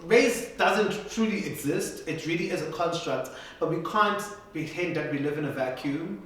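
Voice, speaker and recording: A man in his twenties from South Africa, a musician, giving measured and reflective answers in a documentary interview. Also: a little high, very echoey, far from the mic, slight background noise, rough recording. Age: 20s. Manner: measured, reflective, argues calmly.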